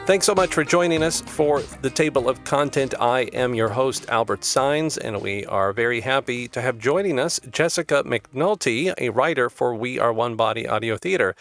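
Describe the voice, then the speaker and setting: A man's voice: mid-range voice, lively intonation, moderate pace, few pauses, fairly bright tone, faint music behind. A man hosting an interview show, upbeat and effusive in how he talks to his guest. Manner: upbeat and effusive, with scripted-sounding intros. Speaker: podcast host.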